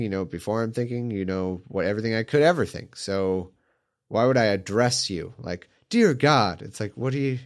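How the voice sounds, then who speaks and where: Congested man reading scripture, sarcastic. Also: fairly low pitch. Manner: irreverent, mocking, chuckles at the text.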